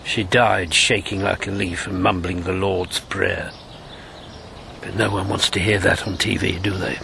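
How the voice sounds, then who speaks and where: Gravelly, roguish old gentleman. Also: low voice, flat delivery, measured pace, a little hiss or hum, exaggerated voice. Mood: haunted, grave. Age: older. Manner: old-fashioned genteel slang, chuckly and confiding. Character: roguish, haunted old gentleman, former wartime agent. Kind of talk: broadcast radio drama acting.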